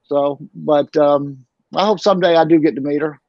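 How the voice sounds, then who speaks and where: A fairly high-voiced middle-aged man from North Carolina speaks haltingly, circling back and restarting his sentences. His voice comes through a dull, lo-fi video call in a dry, dead-sounding space. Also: steady tone, no room sound. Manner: halting, restarts often, many "you know"s. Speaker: guest, transplant recipient. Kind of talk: casual video call interview.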